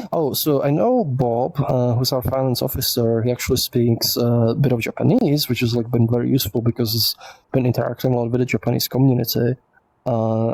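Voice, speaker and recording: A man's voice: mid-range voice, vocal fry, warm tone, dry recording, rough recording. A man whose first language is Czech, hosting a casual livestream Q&A, reading viewer questions aloud and rambling through his answers with lots of fillers.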